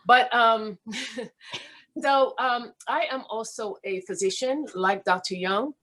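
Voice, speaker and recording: A woman's voice: a little high, slow pace, fairly bright tone. Middle-aged woman w/ guyanese accent, doctor guest, easygoing and chatty. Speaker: physician guest. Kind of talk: online panel self-introduction.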